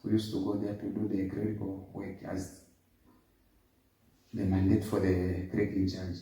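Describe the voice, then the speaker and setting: A man in his fifties giving a formal interview, recounting his background in a measured way. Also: low-pitched, level tone, mellow. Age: 50s. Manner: measured, formal, lists dates and places. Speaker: interview guest. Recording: echoey, distant room sound.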